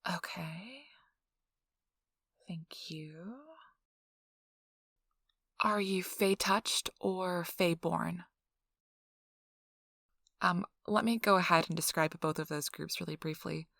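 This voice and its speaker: A woman playing a friendly, chatty intake interviewer in a soft ASMR role-play, explaining things politely and informally. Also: on the low side, steady tone, slow pace, gravelly, pauses often, no echo, studio quality, heavily acted. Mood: calm, businesslike. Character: friendly, chatty female intake interviewer at a vampire blood donation center.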